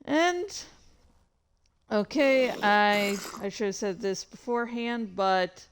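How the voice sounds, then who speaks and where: A woman hosting a livestream with casual, halting, easily sidetracked chat. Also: medium pitch, a little hiss or hum. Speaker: livestream host and fan fiction reader. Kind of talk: casual rambling stream chat.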